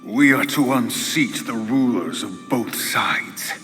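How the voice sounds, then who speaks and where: A man playing a loyal, deferential warrior horseman in a video game, speaking gravely and formally in measured phrases. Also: medium pitch, fairly bright tone. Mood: solemn. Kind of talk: video game cutscene acting. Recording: long hall reverb, faint music.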